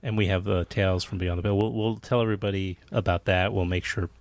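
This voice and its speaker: Man, somewhat low pitch, noticeable vocal fry, podcast host, agreeable, casual interviewing. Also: steady tone, fast, no room sound.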